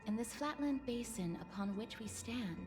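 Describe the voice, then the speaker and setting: A woman's voice: fairly high pitch, lively intonation, normal speed, natural voice. An actress playing an earnest, polite young woman in an anime game, speaking in a formal, careful, explanatory way. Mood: explaining. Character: earnest, polite young woman, thoughtful guide with reverent air. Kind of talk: anime game voice acting, expository dialogue. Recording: game music clearly behind.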